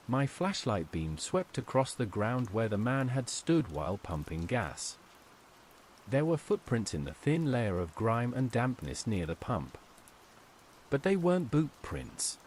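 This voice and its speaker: A male narrator tells a first-person horror story with tense, suspenseful drama. His mid-pitched voice rises and falls widely from phrase to phrase. A light layer of rain noise runs behind him. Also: a little mellow.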